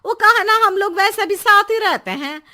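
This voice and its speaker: A woman playing a sharp-tongued, suspicious cartoon wife, bossy and sarcastic. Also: extremely high pitch, moderate pace, heavily acted. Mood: chatty. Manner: sarcastic, scolding. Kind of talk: comic cartoon dialogue.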